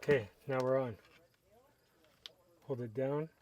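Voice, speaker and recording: A man's voice: mid-range voice, takes it slowly. A man casually narrating a product review, explaining each step as he works with his hands. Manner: relaxed, step-by-step explaining while working with hands.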